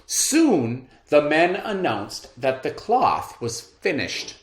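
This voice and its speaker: A man reading a storybook aloud in a deliberate, theatrical narrating style. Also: mid-range voice. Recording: roomy echo.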